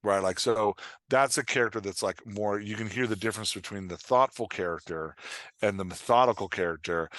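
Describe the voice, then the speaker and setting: Low-voiced man explaining, dry close recording. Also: no room sound. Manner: animated explainer, frequent "like" and "right?" check-ins. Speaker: podcast guest, dialogue writing coach. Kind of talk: casual explanatory chat with improvised examples.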